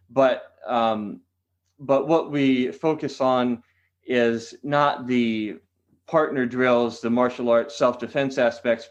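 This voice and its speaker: Male guest, qigong teacher, earnest and measured, mid-pitched, a bit dull sound. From San Diego, California. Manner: earnest, restarts phrases often. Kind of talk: podcast interview answers.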